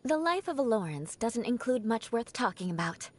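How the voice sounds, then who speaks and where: An actress voicing a proud, vengeful young aristocratic woman knight in a video game, with a haughty, theatrical, crisply enunciated delivery. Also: on the high side, very animated, normal speed. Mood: wry, proud. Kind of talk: scripted video game character voice lines. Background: background music.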